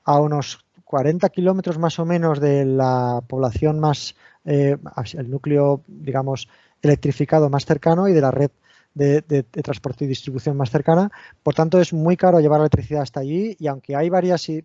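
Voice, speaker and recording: A man's voice: on the high side, steady tone, moderate pace, mellow, dry recording, dull audio. A man with an accent from Spain giving an online technical talk, explaining step by step and often restarting his sentences. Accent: Spain Spanish. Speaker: invited lecturer.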